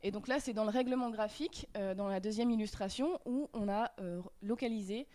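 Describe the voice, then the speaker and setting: A woman's voice: medium pitch, brisk, dark tone. A woman giving a workshop presentation on slides, hesitant with many fillers and restarts.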